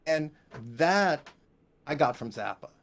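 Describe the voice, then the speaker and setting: A man's voice: fairly high pitch, big pitch swings, slow pace. A man speaking as a composer being interviewed, casual and enthusiastic, rambling and often checking in with "right?". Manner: enthusiastic, rambling, punctuated with "you know" and "right?". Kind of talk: casual interview conversation about music.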